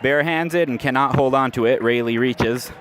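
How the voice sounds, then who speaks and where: A man calling baseball play-by-play for radio, setting the action against stats in a steady, measured delivery, recorded live at an outdoor ballpark. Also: mid-range voice, a faint crowd. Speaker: play-by-play announcer. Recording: noisy outdoor ballpark ambience.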